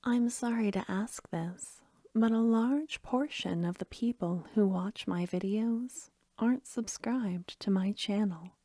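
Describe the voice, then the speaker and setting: A woman speaking gently and softly to her listeners as an ASMR creator, in a hushed voice. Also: mid-range voice, slow, dry recording. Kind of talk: soft-spoken ASMR sign-off.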